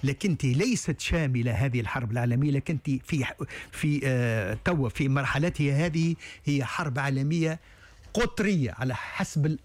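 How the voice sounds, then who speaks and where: A man's voice: medium pitch, normal speed. A man with a Tunisian Arabic accent, a guest analyst on a talk show, who often stalls and restarts mid-sentence. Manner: hesitant, many stalled restarts and fillers. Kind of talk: studio talk show discussion.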